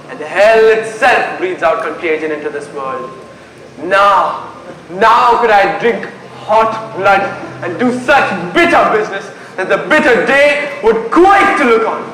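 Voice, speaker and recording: A man's voice: high voice, big pitch swings, slow, sharp tone, slight background noise, exaggerated voice. A man acting a brooding, mocking young prince in theatrical Shakespearean style on stage. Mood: dark, vengeful. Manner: theatrical declamation. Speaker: amateur stage actor. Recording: echoey stage hall with music.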